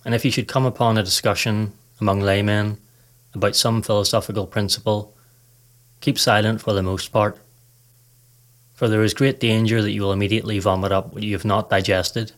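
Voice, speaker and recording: A man hosting a podcast, reading a scripted guided meditation in a calm, measured, instructive way. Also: fairly low pitch.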